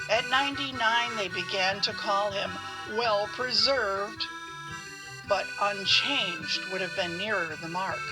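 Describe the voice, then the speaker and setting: A woman narrating a fantasy audio play in a measured storybook style. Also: medium pitch, natural voice, poor audio.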